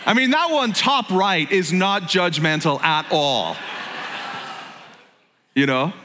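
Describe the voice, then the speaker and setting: Northern Irish pastor, bright, in a hall. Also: male voice, high voice, animated, takes it slowly, a little hiss or hum. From Northern Ireland. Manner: jokey, conversational, self-interrupting. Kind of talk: sermon.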